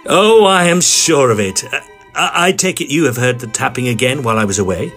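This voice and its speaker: A man playing an excitable Edwardian gentleman ghost hunter, telling his story in formal, theatrical audio drama style. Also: mid-range voice, fairly quick, faint music behind. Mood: polite curiosity. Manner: formal, old-fashioned, dramatic phrasing. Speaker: narrator and lead character.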